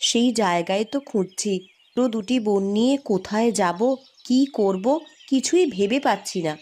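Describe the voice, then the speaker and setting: A woman voicing a quick-witted young eldest sister in a cartoon, protective of her sisters, persuasive and sometimes scolding. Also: mid-range voice, a little mellow, close to an everyday voice. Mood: placating. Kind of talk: animated cartoon story dialogue. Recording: music under the voice.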